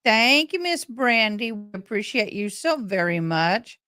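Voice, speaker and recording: A woman hosting a casual livestream, talking in a halting, rambling way. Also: on the high side, sing-song, bright, forceful tone, dry recording, clean studio sound. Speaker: livestream host.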